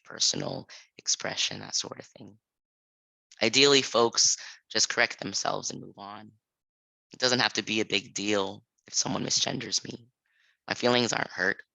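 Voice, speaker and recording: A man on a panel, calmly reading a reflective personal story at a library event. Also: fairly low pitch, creaky, no room sound, poor audio. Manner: calm, measured, reflective.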